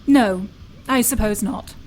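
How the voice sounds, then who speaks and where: A woman's voice: medium pitch, slow pace, a little mellow, a little hiss or hum, lightly acted, low-quality recording. An actress playing a proud, principled young British woman, a detective's prim and emphatic partner in an audio drama. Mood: dry, composed.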